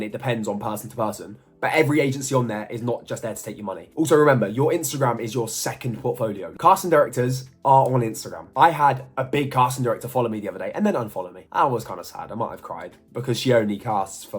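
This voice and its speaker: Fast-talking, husky young guy. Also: mid-range voice, animated. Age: 20s. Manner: chatty, self-mocking, jokey asides. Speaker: model and YouTuber. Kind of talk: casual Q&A vlog.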